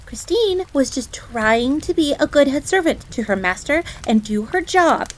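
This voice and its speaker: A woman's voice: high voice, animated, measured pace, a little hiss or hum, poor audio. A woman playing a jealous, easily flustered fox maid in a roleplay audio drama, haughty and indignant yet self-deprecating, prone to stammering. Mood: defensive. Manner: indignant, stammering when flustered, refers to herself in third person.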